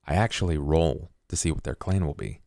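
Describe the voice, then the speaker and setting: Male podcast player, deep voice, very dry sound, casual talk with emphatic repeats. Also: brisk, creaky, dark tone, no room sound. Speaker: podcast cast member, player. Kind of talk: casual chat.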